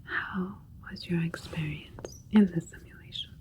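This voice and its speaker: A woman playing a calm, caring alien doctor in an ASMR roleplay, speaking in a soft breathy whisper with a gentle, clinical, reassuring manner. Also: fairly low pitch, a little slow, close to an everyday voice. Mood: clinical, attentive.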